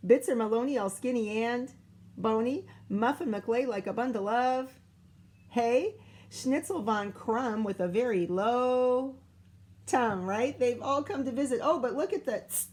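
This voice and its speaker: A woman teacher reading a picture book aloud to young children in an expressive, sing-song way, with coaxing asides and questions. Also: fairly high pitch, measured pace. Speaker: primary school teacher reading aloud to pupils.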